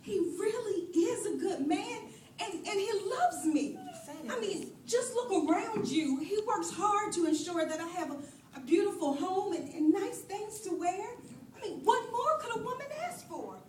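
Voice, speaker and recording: Actress as battered pastor's wife: high voice, wistful, loyal, pleading her husband's case; live stage play, distant mic. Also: animated, a little slow, a little mellow. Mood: defensive, pleading. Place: stage in a roomy hall. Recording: echoey hall from far off, light noise.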